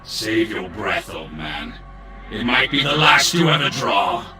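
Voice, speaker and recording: A man's voice: on the low side, very animated, unhurried. A man playing an anguished, defiant undead death knight commander with a theatrical, commanding manner. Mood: menacing. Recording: echoing, distant, faint music behind.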